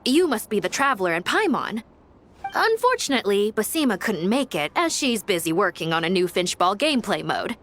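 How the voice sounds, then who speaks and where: A woman's voice: mid-range voice, big pitch swings, brisk, a bit bright. A woman playing a friendly, eager young booth helper in a video game, polite and upbeat. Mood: polite, welcoming. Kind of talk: animated video game voice acting. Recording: faint music behind the voice.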